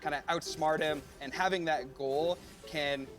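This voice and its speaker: A man's voice: a little high, steady tone, unhurried. A man speaking as a game developer, explaining design choices in a conversational promotional interview style. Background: music.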